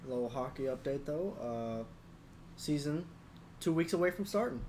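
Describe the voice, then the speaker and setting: A man's voice: mid-range voice, expressive, normal speed, a little mellow, a little hiss or hum. A man co-hosting a sports podcast in informal banter, tossing out short, terse quips.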